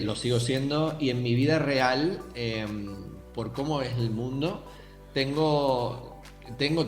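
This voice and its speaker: A man's voice: medium pitch, echoey room. A young man with a Canarian accent, a writer thoughtfully answering interview questions and speaking directly to the listeners. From Canary Islands, Tenerife. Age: young adult.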